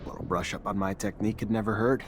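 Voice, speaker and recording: An actor playing a blunt, confident young apprentice soldier in a video game. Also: male voice, fairly low pitch, fairly even intonation, very fast, noisy background, natural voice.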